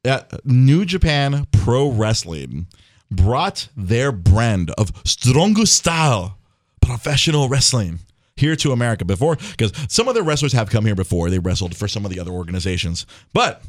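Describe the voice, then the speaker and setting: A man hosting a casual podcast chat, talking in an animated way, swearing, laughing and stumbling over his words. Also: mid-range voice, normal speed, no echo, clean studio sound. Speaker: podcast host.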